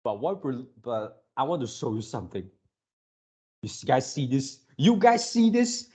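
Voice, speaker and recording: A man hosting a casual fan reaction video, gushing excitably in halting, drawn-out phrases. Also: mid-range voice, breathy edge.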